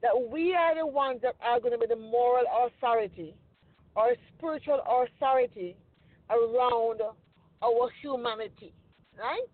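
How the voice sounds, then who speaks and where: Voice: medium pitch, moderate pace. Earnest Caribbean host on a tinny line. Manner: earnest, reflective, with frequent "you know" and "okay?" checks. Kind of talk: casual discussion. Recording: tinny phone-line sound, dry.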